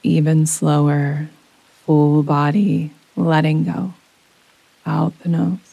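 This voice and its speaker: Female meditation guide: soothing, very even intonation, long unhurried pauses, intimate dry sound with rain behind. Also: low-pitched, vocal fry, husky, no echo, lo-fi sound. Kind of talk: guided yoga nidra relaxation meditation. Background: soft rain sounds.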